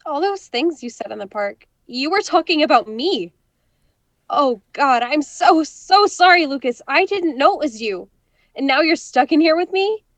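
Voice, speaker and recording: A woman's voice: high-pitched, expressive, moderate pace, dry recording. A young woman acting a casual, self-critical girl in an audio drama, with an informal way of talking. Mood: remorseful. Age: young adult.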